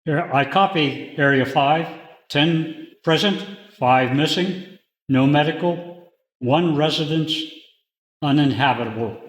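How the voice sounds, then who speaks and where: A man reading scripted instructional material and radio procedures in a deliberate, procedural way. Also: fairly high pitch, poor audio. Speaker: training presenter and radio net controller in demonstration.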